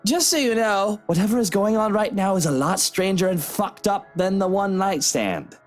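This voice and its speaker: A man's voice: high voice, expressive, moderate pace. A man voicing a frustrated, snobbish and cynical man in a visual novel, with a demanding, sneering manner. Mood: irritated, demanding. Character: frustrated, snobbish, bitter man, rude house guest. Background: faint game music.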